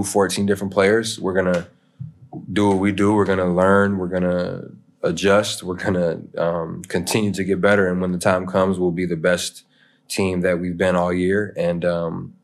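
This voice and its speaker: Deep, flat-voiced American man. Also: normal speed, gravelly. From United States. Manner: relaxed and rambling, peppers talk with "man" and "you know". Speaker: interview guest, pro basketball player. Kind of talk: casual podcast chat.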